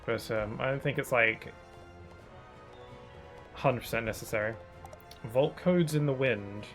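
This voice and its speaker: A man streaming a game, chatting casually and thinking aloud, a bit sniffly from hay fever, with bursts of excitement. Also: medium pitch, unhurried, faint music behind. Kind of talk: casual gaming commentary.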